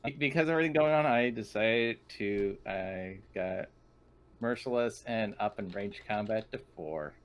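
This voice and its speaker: A man's voice: lowish voice, normal speed. A man talking casually as a player after a tabletop role-playing game. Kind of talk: casual post-game chat.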